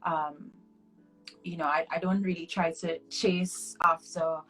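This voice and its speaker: Interview guest: Trinidadian woman, twenties, slightly low voice, relaxed chatty talk. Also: slow pace, slightly rough, soft background music, rough recording. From Trinidad and Tobago. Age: 20s. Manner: chatty, reflective, frequent ums and laughs. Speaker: interview guest, hair artist.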